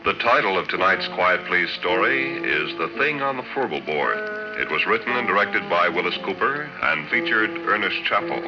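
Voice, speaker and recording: A man's voice: sits low, expressive, normal speed. A man reading scripted credits as a 1940s radio announcer. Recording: muffled vintage radio broadcast. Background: music.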